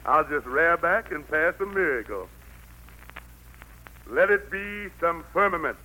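A man's voice: on the high side, expressive, unhurried, pushed and bright, exaggerated voice. An actor playing God as a genial, fatherly country patriarch with a Southern US accent and a folksy, commanding manner in an old radio play. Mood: folksy, fussy. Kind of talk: 1940s radio play acting. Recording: noisy old radio recording.

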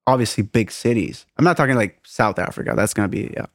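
A man's voice: on the low side, fast, dry recording, studio quality. A man co-hosting a podcast, chatting casually, laughing often and musing aloud. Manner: giggly, thoughtful. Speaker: podcast co-host. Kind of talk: casual chat.

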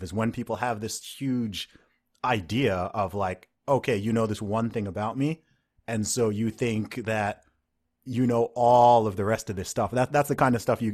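Laid-back low-voiced man chatting. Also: normal speed. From grew up in Saudi Arabia. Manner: animated, mocking asides, frequent likes. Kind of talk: casual conversation.

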